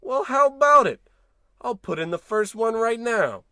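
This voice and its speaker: An actor playing an excitable, fretful husband, theatrical and folksy, in a 1940s-style radio comedy. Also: male voice, very high voice, normal speed, a little hiss or hum, heavily acted. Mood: warm, agreeable.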